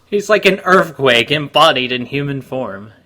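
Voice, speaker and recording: A man's voice: medium pitch, animated, moderate pace, bright, forceful tone. A man giving casual comedic commentary as a co-host, wry and sarcastic.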